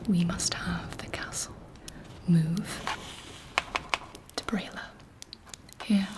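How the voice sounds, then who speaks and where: Casting: woman to play scheming vampire queen for ASMR roleplay, breathy whisper, unhurried, haughty, coolly menacing. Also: mid-range voice, a little mellow, natural voice, low-quality recording. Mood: coolly calculating. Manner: slow, deliberate, haughty, menacing. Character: imperious, scheming female vampire queen, coolly ambitious and contemptuous. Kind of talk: whispered ASMR roleplay, scripted character monologue.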